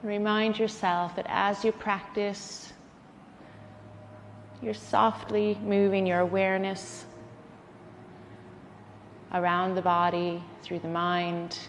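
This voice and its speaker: A woman guides a relaxation practice at a very slow pace, with gentle, soothing phrasing and pauses between instructions. Her medium-pitched voice rings in a long reverb, over faint background noise. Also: level tone. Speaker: yoga nidra instructor.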